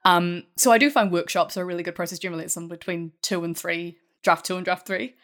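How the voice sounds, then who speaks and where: A woman talking casually as a guest writer in an interview, animated and quick to laugh. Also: on the low side.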